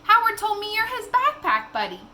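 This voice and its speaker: A woman's voice: extremely high pitch, very animated, moderate pace, sharp tone, slight background noise, pitched above the performer's own voice, exaggerated voice. A woman voicing a kind, hospitable mother from a children's novel in a sing-song way. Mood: friendly welcome.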